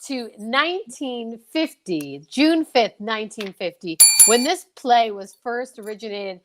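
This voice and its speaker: A woman's voice: fairly high pitch, animated, a little slow, heavy background noise. A woman hosting, chatting casually as she introduces a play reading. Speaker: host.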